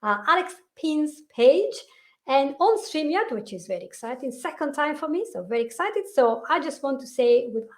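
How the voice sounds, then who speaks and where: A woman with a high, light voice hosts a relaxed live-stream conversation. She talks chattily, often repeating a word as she restarts. The sound is a plain video-call recording. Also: moderate pace. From Italy. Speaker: host, hypnotherapist. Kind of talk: casual live-stream chat.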